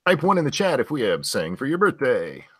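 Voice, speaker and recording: A man's voice: medium pitch, huge pitch swings, fairly quick, fairly bright tone, breathy edge. A man in his forties co-hosting a casual online chat show, trading playful jokes. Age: 40s. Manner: playful, jokey banter. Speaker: livestream co-host.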